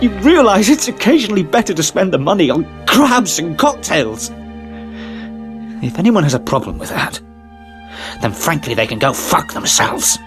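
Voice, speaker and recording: A male actor giving a mock-tragic dramatic reading as a self-pitying, aggrieved man, theatrical and overwrought. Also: mid-range voice. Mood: bitter, self-pitying. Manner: theatrical, overwrought, aggrieved, swooping from hushed to outraged. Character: self-pitying, melodramatic man lamenting betrayal and ingratitude. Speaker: trained professional actor. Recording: voice over mournful classical music.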